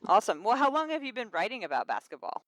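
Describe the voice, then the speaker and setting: A woman's voice: fairly high pitch, big pitch swings, fast, a bit bright, no room sound, low-quality recording. A woman hosting a podcast who chats casually in a friendly, hesitant way. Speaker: podcast host.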